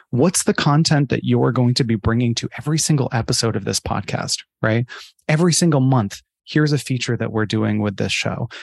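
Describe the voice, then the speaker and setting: Gravelly, husky man talking shop. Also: mid-range voice, dry recording, clean studio sound. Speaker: podcast advertising expert being interviewed. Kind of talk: conversational interview talk.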